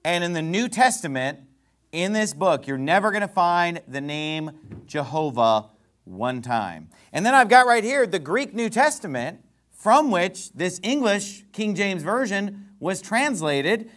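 Man, preacher. Emphatic sermon delivery, rising and falling pitch, slightly higher voice. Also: lively intonation, measured pace, a bit bright. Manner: emphatic preaching with rhetorical questions. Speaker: pastor.

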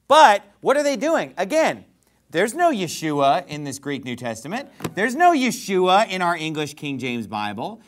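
A pastor preaching an emphatic sermon in a church, asking the congregation rhetorical questions. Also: male voice, on the high side.

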